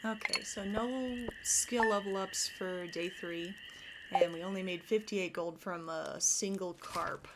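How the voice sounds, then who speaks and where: A woman's voice: mid-range voice, fairly even intonation, a little slow, very noisy. A woman hosting a casual let's play, explaining her plans step by step as she goes. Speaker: gaming video host. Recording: music playing behind. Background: game music.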